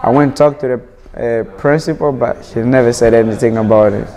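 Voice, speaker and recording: A man's voice: medium pitch, brisk, mellow. A teenage man from Burkina Faso, an immigrant student, answering interview questions earnestly, often restarting his sentences.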